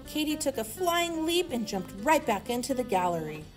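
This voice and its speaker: A woman reading a children's story aloud in an expressive manner. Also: high-pitched, normal speed, over music. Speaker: storybook reader.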